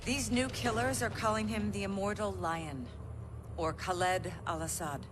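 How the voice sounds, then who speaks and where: An actress playing a stern, authoritative military intelligence officer delivering a clipped, scripted game mission briefing. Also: female voice, mid-range voice, music in the background, lightly acted. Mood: grave, resolute. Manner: clipped, commanding, deliberate. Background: game score.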